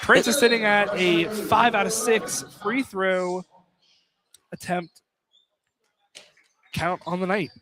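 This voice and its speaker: A young man with a notably high voice calls a college basketball game live on radio. His play-by-play is informal and conversational, with banter aimed at a co-commentator. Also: slow, long pauses, a loud crowd. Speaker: play-by-play announcer. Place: college basketball field house, live broadcast.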